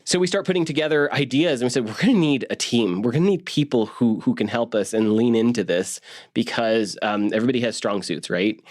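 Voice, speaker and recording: A man casually recalling past work in an interview, acting out bits of remembered conversation in an animated way. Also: medium pitch. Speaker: media producer.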